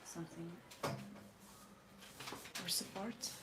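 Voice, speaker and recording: A tired woman from Croatia with a Croatian accent, chatting casually while she draws, speaking in measured, formal phrases with frequent pauses. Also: fairly low pitch. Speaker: artist vlogger. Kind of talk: casual chat while drawing. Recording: roomy, distant mic with noisy ambience.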